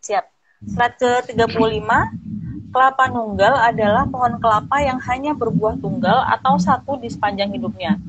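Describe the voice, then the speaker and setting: A woman host reading slide text aloud in a steady, formal way during an online talk. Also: a little high, normal speed, a little hiss or hum, low-quality recording. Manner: steady formal read-through, brief acknowledgements.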